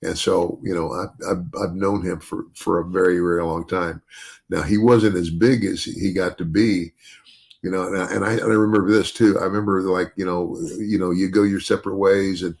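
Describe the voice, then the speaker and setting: Older male podcast host, deep bass voice with gravel, rambling and restarting mid-thought. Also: steady tone, normal speed, a little mellow. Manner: rambling with many restarts and "you know". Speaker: podcast host, retired wrestler. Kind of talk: casual podcast chat.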